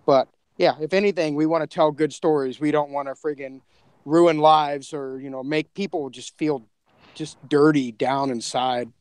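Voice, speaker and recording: A man with a fairly high voice hosts a casual group podcast. He speaks in a chatty, slangy way. The sound is very dry, with no room to it. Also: fairly even intonation, moderate pace, darkish tone, clear, resonant tone, no echo. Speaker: podcast host and co-creator. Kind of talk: casual group chat about a horror podcast.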